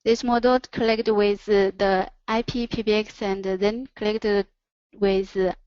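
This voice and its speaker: A woman who speaks English as a second language presents a product in a webinar, haltingly, pausing between short phrases. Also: mid-range voice, steady tone, darkish tone, smooth, dry recording. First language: not English. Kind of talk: product presentation over slides. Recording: dull, lo-fi online audio.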